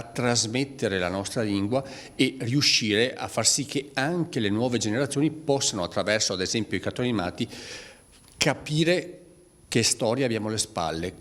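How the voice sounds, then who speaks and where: A man's voice: lowish voice, a bit bright. A man giving a public statement as a speaker at a presentation.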